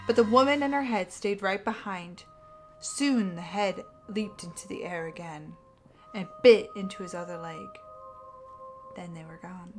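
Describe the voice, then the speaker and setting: A woman co-host reading stories aloud dramatically, expressive and playful, often breaking off into casual asides. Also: mid-range voice, unhurried, soft background music. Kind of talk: dramatic story reading mixed with casual banter.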